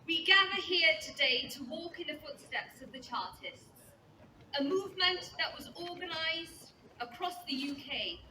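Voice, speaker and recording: A woman trade union leader giving a loud, earnest public speech at a commemoration, recorded from a distance. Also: sits high. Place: outdoor commemoration event. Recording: echoey distant capture with background noise.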